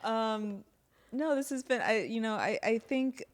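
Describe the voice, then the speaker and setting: A woman hosting a community radio interview, talking in a loose, chatty way and thinking out loud as she goes. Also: fairly high pitch, unhurried. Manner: thinks aloud, warm and chatty. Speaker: radio show host. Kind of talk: casual interview conversation.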